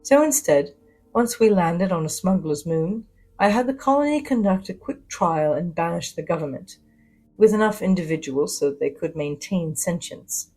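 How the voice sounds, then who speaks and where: A woman playing a witty, self-assured spy and socialite, narrating her own adventure with arch, wry, theatrical pauses. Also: fairly low pitch, lively intonation, warm tone. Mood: wry, amused. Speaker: narrator of an audio drama. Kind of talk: first-person scripted dramatic narration.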